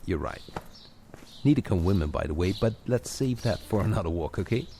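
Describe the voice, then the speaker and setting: A man's voice: deep voice, expressive, a little fast, mellow. A man speaking as a coach in a conversational guided session, addressing one listener with encouragement and playful asides. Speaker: coach guiding a walking audio session. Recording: clear background noise of outdoor walk effects. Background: added walking footsteps, garden birds, ambience.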